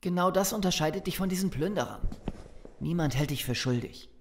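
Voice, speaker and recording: A man's voice: sits high, a little fast, slight background noise. An actor playing an agitated, loyal and defiant male survivor in an audio drama, speaking urgently. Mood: reassuring.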